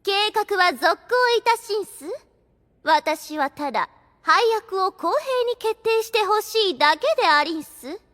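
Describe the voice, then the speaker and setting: A woman's voice: extremely high pitch, lively intonation, a little slow, fairly bright tone, full character voice. A woman playing a haughty, jealous vampire girl in an anime audio drama, with quaint courtesan turns of phrase and a theatrical, accusing manner. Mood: smug, challenging.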